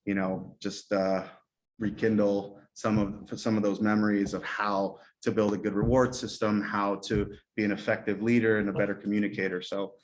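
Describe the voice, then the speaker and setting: Guy on a panel, low flat voice, thinking it through with lots of you-knows. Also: moderate pace, rough recording. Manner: thoughtful, with fillers. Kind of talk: casual panel talk.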